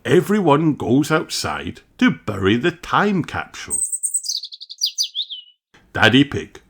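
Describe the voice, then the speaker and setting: An expressive children's storybook narrator with very animated intonation. The pace is slow and deliberate, and there is faint noise in the recording. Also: mid-range voice. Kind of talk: scripted children's read-aloud.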